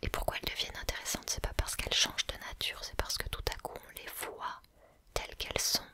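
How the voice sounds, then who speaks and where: A man whispering softly in a slow, reflective ASMR video, pausing and restarting as he thinks aloud. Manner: musing, reflective.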